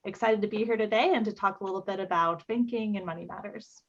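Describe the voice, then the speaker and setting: A woman's voice: medium pitch, fairly even intonation, fast. Friendly woman advisor on a call. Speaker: international student advisor. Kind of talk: panel introduction. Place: video call. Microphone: video call. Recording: muffled video call audio.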